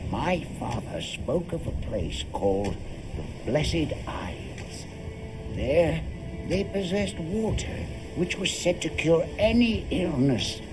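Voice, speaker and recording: An actor playing a gentle, ailing villager in a video game, halting, weary and wistful. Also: male voice, on the high side, animated, music in the background, poor audio. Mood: wistful warning. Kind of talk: video game character acting.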